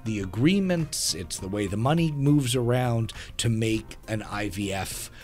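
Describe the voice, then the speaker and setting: A man co-hosting a podcast speaks casually, circling through his thoughts with many small fillers. His voice is on the low side. Faint music sits underneath. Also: expressive, measured pace. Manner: reflective, rambling with many "uh" and "you know". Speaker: podcast co-host.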